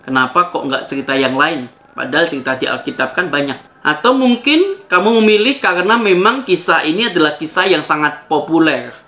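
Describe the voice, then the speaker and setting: A man with a fairly high voice. His tone is bright and sharp. He hosts a commentary video, talking directly to viewers and putting questions to them in a conversational way. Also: lively intonation, a little fast. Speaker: video host. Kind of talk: spoken rebuttal commentary to viewers.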